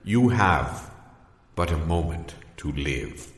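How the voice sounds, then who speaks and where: Man voicing combative rodent duelist, low voice, swooping delivery, grandiose audio-comedy declaiming, reverberant hall. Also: animated, slow, exaggerated voice. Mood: defiant, boastful. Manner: grandiose, archaic, theatrical declaiming. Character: pompous, combative male rodent duelist in chipmunk suit. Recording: echoey hall with long reverb.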